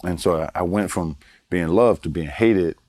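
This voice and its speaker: A man in his sixties from Baltimore, a guest telling stories from his life in a relaxed way, chuckling and repeating words for emphasis. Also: low voice, moderate pace, no room sound, clean studio sound. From Baltimore, Maryland. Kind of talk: casual storytelling interview.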